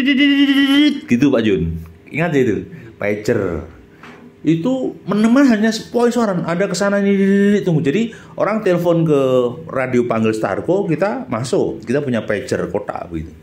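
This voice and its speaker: A man's voice: a little high, a little mellow. A middle-aged man with a Javanese accent from Central Java, chatting through an informal reminiscing monologue and often turning to his companion with questions. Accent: Javanese Indonesian, Central Java. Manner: chatty, often checks in with listener using questions. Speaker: channel host, former radio announcer.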